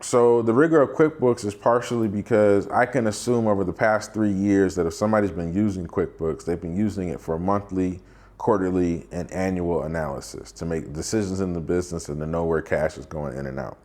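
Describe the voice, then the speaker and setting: A man's voice: low-pitched, fairly quick, a little mellow. An American man working as a due diligence advisor, explaining a case in an emphatic, colloquial storytelling style. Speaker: due diligence advisor, quality of earnings provider. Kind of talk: explanatory storytelling to camera with acted-out dialogue.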